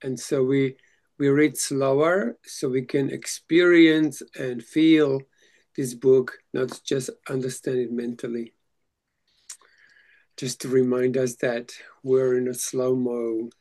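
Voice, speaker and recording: A man whose first language is Slovak, leading a casual reading group chat and speaking haltingly, with frequent restarts. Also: mid-range voice, steady tone, darkish tone. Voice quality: halting, many restarts and fillers.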